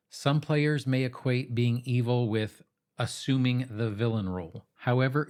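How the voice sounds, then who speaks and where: A man narrating a scripted tabletop roleplaying explainer in a measured, instructive way, with casual asides, speaking directly to the listener. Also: medium pitch, darkish tone. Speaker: host of a tabletop roleplaying advice channel.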